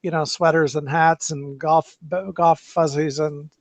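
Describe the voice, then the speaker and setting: A man's voice: fairly high pitch, level tone, measured pace, dry recording. A man hosting a casual car chat, talking in loose, rambling sentences with many restarts. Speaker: podcast host. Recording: dull lo-fi dry sound.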